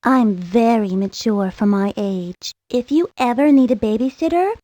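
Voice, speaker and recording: A self-important young girl from a video game, as a woman plays her. Her voice is high and close, with a prim, bossy air of being very grown-up. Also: normal speed, mellow, dry recording, natural voice. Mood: bossy, self-assured. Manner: pompous, prim, grown-up airs. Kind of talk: video game character monologue.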